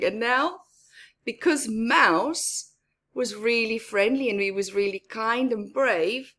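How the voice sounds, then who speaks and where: A woman's voice: a little high, fairly bright tone. A woman reading a story aloud to young children, expressively, with simple explanatory asides. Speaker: children's story-time reader.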